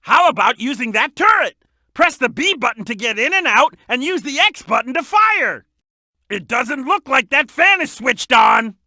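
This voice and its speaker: A woman voicing an excitable, cheeky little boy animal sidekick in a video game, with a childlike voice and eager, emphatic delivery. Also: high-pitched, moderate pace, dry recording, clean studio sound, heavily acted. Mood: eager, instructive. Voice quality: youthful, childlike. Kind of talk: video game character hint lines.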